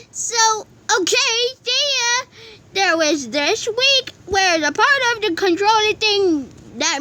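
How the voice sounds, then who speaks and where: An eager talking-object teacher from a cartoon , put on by a woman, chatty and excitable. The voice is extremely high and bright, rambling along over faint noise in the home recording. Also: full character voice.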